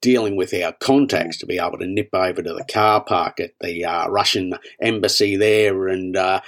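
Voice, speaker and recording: Male comedy podcast co-host, lowish voice, deadpan rambler, hesitant, repeats himself and trails off. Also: normal speed. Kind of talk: rambling deadpan improvised comic monologue.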